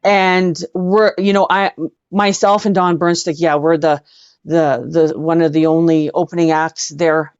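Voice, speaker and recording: Female rock-singer guest, low-pitched, effusive and emphatic storyteller, captured in a roomy space with dull audio. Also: darkish tone. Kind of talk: casual anecdote storytelling in an interview.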